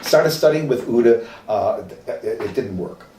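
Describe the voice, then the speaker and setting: An older man with a Midwestern accent, recalling his acting training in an oral history interview, reflective and halting with many fillers. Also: mid-range voice, expressive, dark tone. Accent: Midwestern American. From American Midwest. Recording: roomy distant mic with faint noise.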